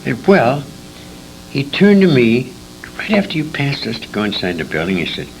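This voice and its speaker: A man's voice: wildly swooping pitch, moderate pace. An older American man reminiscing in an interview, telling anecdotes emphatically and repeating words for emphasis. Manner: emphatic storyteller, acts out quoted dialogue, repeats words for emphasis. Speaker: interviewee, veteran radio performer recalling his career. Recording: noisy 1980s convention interview tape, rumble.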